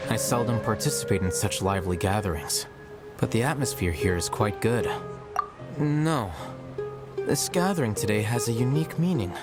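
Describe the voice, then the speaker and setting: Low, dry, aloof male scholar played by an actor. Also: lively intonation, moderate pace, loud music behind the voice, lightly acted. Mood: musing, disdainful. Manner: dry, matter-of-fact, formal. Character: aloof, self-assured young male scholarly scribe. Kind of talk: video game voice acting.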